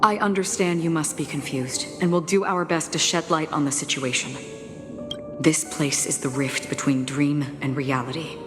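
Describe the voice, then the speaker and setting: An actress playing a composed, coolly courteous woman warrior in a video game, grave, formal and deliberate in her speech. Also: sits low, steady tone, close to an everyday voice. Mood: solemn, explaining. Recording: long reverb, music behind. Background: game music.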